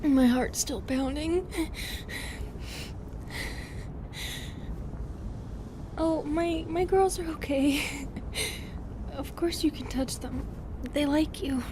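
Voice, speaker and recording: A woman playing an anguished, remorseful female medusa in an intimate audio roleplay, tender and fragile, with a halting, emotional, pleading delivery. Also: sits high, background noise. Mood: subdued, tender.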